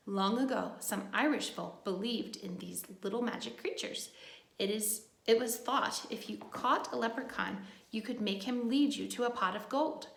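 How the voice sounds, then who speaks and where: A woman's voice: mid-range voice, gravelly, roomy sound. A woman teacher reading a picture book aloud to young children, adding simple, encouraging asides as she goes.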